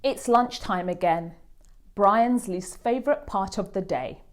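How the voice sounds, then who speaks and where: A woman reading a children's picture book aloud as a host, with expressive, deliberate storytelling. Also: mid-range voice. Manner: animated, deliberate delivery for children.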